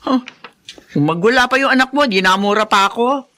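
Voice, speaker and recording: A woman's voice: fairly high pitch, expressive, fairly quick, sharp tone. A woman playing a spiteful, irritable partner in a Filipino radio drama, complaining with snappish scorn. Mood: scornful.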